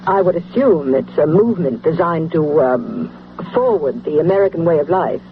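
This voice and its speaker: An actor playing a polite, probing television interviewer in a radio drama, formal and skeptical. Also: male voice, on the high side, expressive, normal speed, a little hiss or hum, lightly acted. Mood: politely doubtful. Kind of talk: 1970s radio drama acting. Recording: tinny, humming old radio broadcast.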